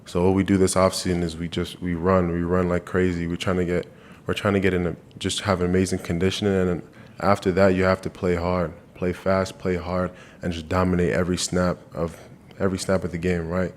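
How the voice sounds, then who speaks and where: Young athlete from Canada, deep and even delivery, earnest, restarts lines as he thinks. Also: fairly quick, a little hiss or hum. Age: 20s. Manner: earnest, repeats and restarts phrases. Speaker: college football player. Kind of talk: press conference answers. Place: media day press room.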